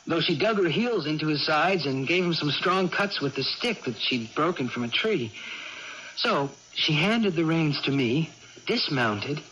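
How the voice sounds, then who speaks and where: Earnest, animated young storyteller. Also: female voice, fairly low pitch. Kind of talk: 1980s radio drama acting. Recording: muffled, noisy old radio broadcast.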